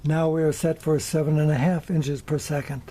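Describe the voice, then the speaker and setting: An older man in his seventies narrating a scripted demonstration in a measured, methodical way. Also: on the high side, little pitch movement, dark tone. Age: older, around 70s. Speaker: presenter of vintage electronics. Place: small indoor electronics workshop.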